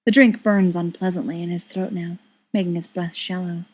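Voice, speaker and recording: A woman's voice: on the low side, fairly even intonation, moderate pace, breathy edge. A woman reading a scripted fiction story aloud as an audiobook narrator. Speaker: audiobook reader.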